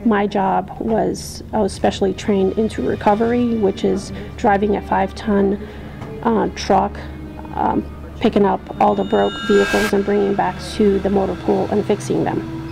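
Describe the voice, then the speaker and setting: Woman, young adult, from Poland, hesitant interview answers, gravelly, faint music behind. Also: mid-range voice, flat delivery, normal speed, rough recording. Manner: hesitant, many ums, restarts. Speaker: interviewee, army veteran.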